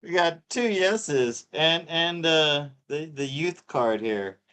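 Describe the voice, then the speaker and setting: A middle-aged man co-hosting a live tarot show in casual chat, halting and repeating himself with many fillers. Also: a little high, animated, sharp tone, smooth. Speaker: co-host reading tarot cards.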